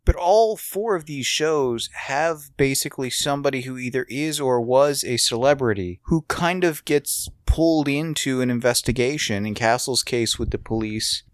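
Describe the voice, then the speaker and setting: A man's voice: mid-range voice, animated, normal speed, hardly any pauses, dry recording, studio quality. A man co-hosting a podcast, casually discussing television in an explanatory way with wry asides. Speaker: podcast co-host.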